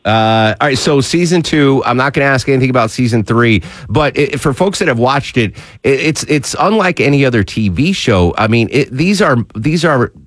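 A man's voice: medium pitch, expressive, normal speed, hardly any pauses, dry recording. Eager, rambling male radio host. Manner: eager, rambling, many fillers and restarts. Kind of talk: enthusiastic radio interview.

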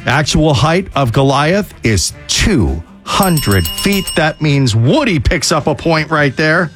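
A man hosting a radio trivia game, briskly repeating the answers and keeping score. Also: a little high, big pitch swings, a bit bright, faint music behind. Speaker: radio host.

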